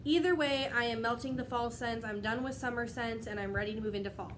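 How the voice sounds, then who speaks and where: A young woman talking to her tablet camera at home, a touch higher-pitched than most and slightly stuffy from a cold. She rambles in a chatty, friendly way, laughing often. The recording carries clear background noise. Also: steady tone, fast. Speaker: wax melt vlogger. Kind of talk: casual chat to camera. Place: at home, indoors.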